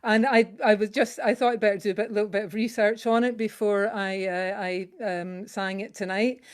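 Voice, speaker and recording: A Scottish woman talks over a video call before she sings. Her voice is smooth and clear, and her chatty, halting speech keeps stopping and restarting. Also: medium pitch, fairly even intonation. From Scotland. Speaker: singer sharing a song. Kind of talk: casual introduction at an online song swap.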